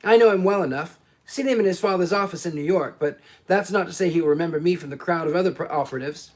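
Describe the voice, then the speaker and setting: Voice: mid-range voice, fast, a little mellow, close to an everyday voice. A reader voicing a brash, easygoing American private detective in an audiobook. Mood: breezy.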